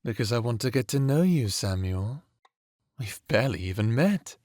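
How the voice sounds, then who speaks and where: A man's voice: medium pitch, animated, moderate pace, a little mellow, no room sound, clean studio sound, natural voice. A man acting a sadistic, playful vampire villain who taunts theatrically in an audio drama. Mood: smug, toying. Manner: taunting, theatrical, toying with words.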